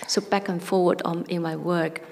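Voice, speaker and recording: A woman from Vietnam with a Vietnamese accent, an artist speaking slowly and haltingly about her work at a public forum. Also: fairly low pitch, fairly even intonation, vocal fry. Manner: halting, searching for words, soft and reflective. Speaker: artist panelist. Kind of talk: talk with slides at a public forum. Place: arts centre hall. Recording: long hall reverb.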